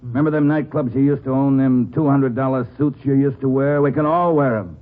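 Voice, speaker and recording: A man's voice: medium pitch, steady tone, brisk, lightly acted. An actor playing a sneering, bullying gangster in a 1950s radio crime drama, with slangy tough-guy talk. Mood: taunting, menacing. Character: menacing, sneering male gangster, bullying and impatient. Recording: muffled, noisy old 1950s radio recording.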